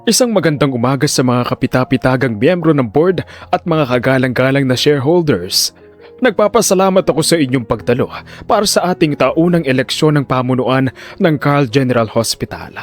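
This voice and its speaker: A man playing a falsely courteous hospital director in an audio drama, formal and soft-spoken, feigning regret. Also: medium pitch, expressive, a little fast, faint music behind, lightly acted. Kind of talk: Filipino audio drama acting.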